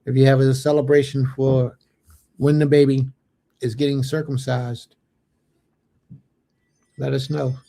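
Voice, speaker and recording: A man's voice: mid-range voice, little pitch movement, measured pace, creaky, pauses often, warm tone, rough recording. A man in his late thirties hosting a religious fellowship livestream, reading viewer comments aloud and answering them in easy chat, with Hebrew blessings sprinkled in. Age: late 30s.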